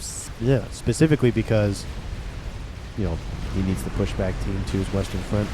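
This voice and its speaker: A man's voice: mid-range voice, noisy background. Congested man casting a strategy game. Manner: relaxed, rambling, talks to viewers. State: congested, nasal from a cold. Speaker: game caster. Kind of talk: casual play-by-play commentary.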